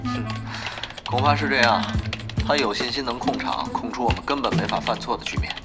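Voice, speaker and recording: A man's voice: medium pitch, fairly quick, loud music behind the voice, lightly acted. An actor playing a composed, resigned young male guild leader in an audio drama.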